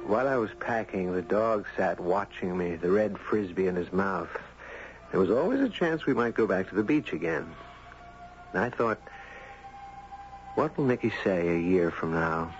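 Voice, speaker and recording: Low-voiced, gentle, reflective man. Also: animated, normal speed, soft background music. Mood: musing, hopeful. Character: gentle, reflective man narrating his own tale, Ben. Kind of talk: 1970s radio drama acting. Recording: dull 1970s radio broadcast.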